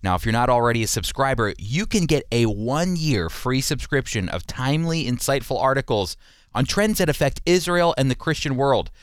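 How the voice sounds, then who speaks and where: A man's voice: medium pitch, animated, normal speed, smooth, dry recording, clean studio sound. A man hosting a radio program, teaching the Bible and commenting in an earnest, emphatic, explanatory way.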